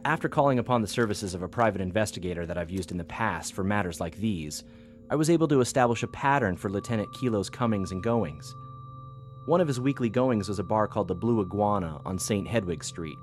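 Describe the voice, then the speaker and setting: Male actor as eager analyst, lowish pitch, formal thorough briefing, deferential, audio drama. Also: lively intonation, brisk, soft background music, lightly acted. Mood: quietly pleased.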